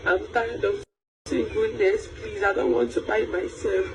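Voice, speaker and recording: A woman's voice: mid-range voice, steady tone, measured pace. A woman, begging over and over in a pleading tone. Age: 30s. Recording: noisy clip.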